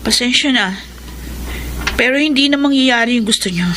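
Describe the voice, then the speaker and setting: An actress playing a headstrong grown daughter with a Filipino accent in a radio drama, insistent and dramatic in delivery. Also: female voice, fairly high pitch, moderate pace, pushed and bright, slight background noise. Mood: defiant, resigned. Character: defiant grown daughter who left her husband.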